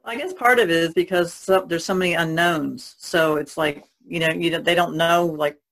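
A woman's voice: on the low side, fairly even intonation, normal speed, a bit bright. A woman speaking casually as a podcast panelist.

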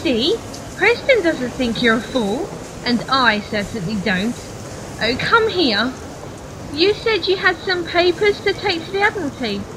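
An affectionate, reassuring British wife, played by a woman in a radio drama. Her voice is high and very animated, recorded with audible noise. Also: unhurried. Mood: fond, excited. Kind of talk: radio play acting. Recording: noisy amateur radio play recording.

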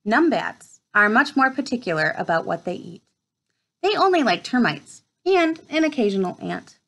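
A woman in her thirties reading a children's nature book aloud at home, with measured storytime phrasing. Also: on the high side, sing-song, gravelly, fairly bright tone. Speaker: read-aloud presenter. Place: small furnished room at home, indoors.

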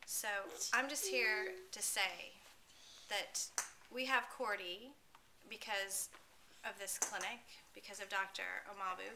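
Earnest woman in her thirties, plain mid-pitched voice, talking to a close camera in a small room at home. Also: slow. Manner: earnest, conversational, with small restarts. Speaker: pet owner giving a testimonial. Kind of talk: casual spoken testimonial. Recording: noisy home video recording. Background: steady background noise.

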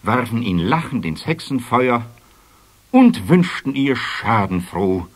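A man narrating a children's radio play in expressive, scripted storybook style. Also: medium pitch, slow.

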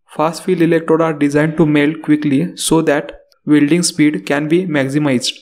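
A man narrating a scripted technical lesson as the instructor, in a steady reading delivery. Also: on the high side.

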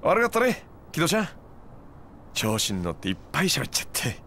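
A man's voice: on the high side, huge pitch swings, a little fast, a bit bright, slight background noise, full character voice. Smug, laid-back moneylender guy. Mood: casual, approving. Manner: glib, needling, casual lecturing. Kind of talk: video game cutscene acting.

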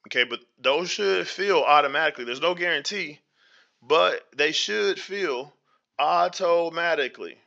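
A man with a slightly hoarse, strikingly bright and tense voice walks his viewers through a screen-share tutorial. His somewhat high voice has a gravelly fry, and the recording sounds dull and lo-fi. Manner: talks straight to viewers, often asks if they hear him; drifts into preacher-like faith talk. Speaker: channel host. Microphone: voice-over to screen recording. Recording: dull lo-fi audio.

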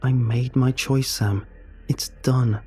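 Guy putting on a teen schoolgirl voice, tearful and choked, weary and defiant, kinda husky. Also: mid-range voice, fairly even intonation, slow pace, warm tone, faint music behind, pitched above the performer's own voice. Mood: firm.

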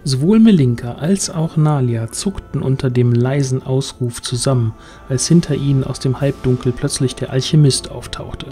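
Male narrator for fantasy audio play, warm mellow tone, mid pitch, scripted storytelling. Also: moderate pace. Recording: music underneath.

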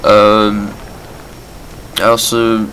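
A man's voice: lowish voice, completely flat delivery, very slow, a crowd in the background, rough recording. A young man with a cold, giving rambling, casual commentary over his gameplay with lots of fillers and a stuffed, nasal voice. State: sick, nasal voice. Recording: background game gunfire noise.

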